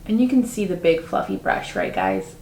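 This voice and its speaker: Upbeat beauty vlogger lady, bit raspy from just waking up, kinda echoey room. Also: fairly low pitch, huge pitch swings, normal speed, darkish tone, a little hiss or hum. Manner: chatty, upbeat, lots of "like" fillers. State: slightly raspy, just woke up. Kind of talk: casual makeup tutorial talk.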